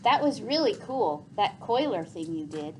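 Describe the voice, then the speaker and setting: A woman's voice: medium pitch, big pitch swings, a little slow, slight background noise. A woman voicing a plucky, joking boy in a children's storybook, casual and upbeat.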